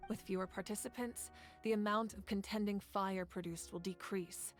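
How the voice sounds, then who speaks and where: A woman plays a commanding, patient leader in a video game, with a medium-pitched voice. She speaks deliberately and formally, resolute and composed, over music. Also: fairly even intonation, natural voice. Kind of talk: English video game voice acting. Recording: music under the voice.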